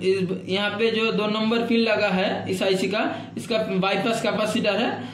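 High-voiced man explaining circuit repair. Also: fairly even intonation, a bit bright, a little hiss or hum. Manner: halting explanation with many fillers, often addressing viewers as friend. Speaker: electronics repair instructor. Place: workbench with circuit board and drawings. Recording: echoey room.

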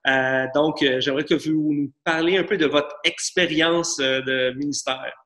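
A middle-aged man with a bright, fairly high, sharp voice. As the host, he asks his questions hesitantly, with many fillers and pauses. He is heard through a laptop on a video call from a living room. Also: fairly even intonation. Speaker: church pastor interviewing. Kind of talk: casual video-call interview. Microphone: laptop camera on a video call.

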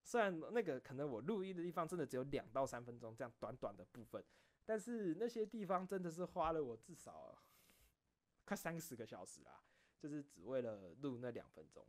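A man talks casually, like a streamer alone with his audience. His pitch moves up and down a lot, giving the talk an animated feel. He rambles along in a self-deprecating manner at a middling pitch. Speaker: streamer and video creator. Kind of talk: casual solo chat, recounting behind-the-scenes stories.

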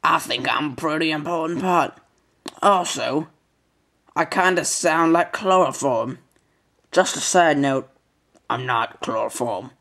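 A man's voice: on the high side, unhurried, creaky, pauses often, pushed and bright, exaggerated voice. Man putting on a grumpy Southern old-timer. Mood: proud. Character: grumpy Southern old male chloroplast, proud, dismissive. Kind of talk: comic educational character sketch.

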